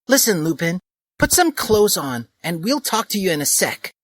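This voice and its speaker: A man's voice: sits high, very animated, moderate pace, fairly bright tone, voice pushed up, full character voice. A man voicing a bossy, scornful teenage girl who leads the group, in a mocking reading of a comedic story. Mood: mocking shrill.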